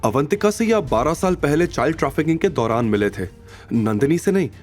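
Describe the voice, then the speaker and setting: A man's voice: on the high side, lively intonation, brisk, darkish tone, faint music behind, voice pushed up, lightly acted. A man playing an anxious, deferential assistant in an audio drama, with a hesitant, stammering manner. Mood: grave, hesitant. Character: anxious, deferential male assistant delivering bad news. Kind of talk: Hindi audio drama acting.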